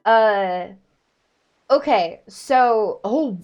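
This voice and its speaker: A woman's voice: a little high, lively intonation, extremely slow, lo-fi sound, soft background music. A woman livestreamer chatting casually while she plays a video game, rambling and self-deprecating. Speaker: streamer, VTuber.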